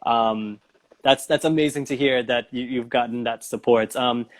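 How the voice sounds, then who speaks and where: A man's voice: mid-range voice, steady tone, moderate pace. A man hosting a casual talk show interview, speaking in an encouraging, affirming way.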